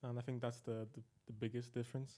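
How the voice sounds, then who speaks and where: Young male guest, medium pitch, informal conversational delivery. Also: flat delivery, quick pace, mellow. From northern Italy. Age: young adult. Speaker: podcast guest. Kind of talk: casual conversation.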